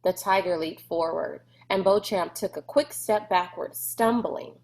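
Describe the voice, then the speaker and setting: A woman's voice: fairly low pitch, a little slow, creaky, darkish tone. A woman narrating a children's novel aloud in a scripted storybook style.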